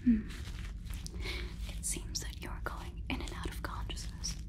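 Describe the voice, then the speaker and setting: Casting: woman, 20s, slow breathy whisper, playing a polite, faintly condescending robot overlord for close-mic ASMR. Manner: soft, halting, deliberate whisper. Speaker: ASMR performer. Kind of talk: whispered ASMR role-play. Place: small room indoors, close up. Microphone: close sensitive microphone.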